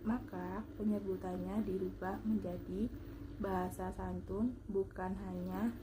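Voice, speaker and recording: Young woman, mid-pitched voice, formal careful delivery, scripted student presentation, noticeable background noise. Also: little pitch movement, a little slow. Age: young adult.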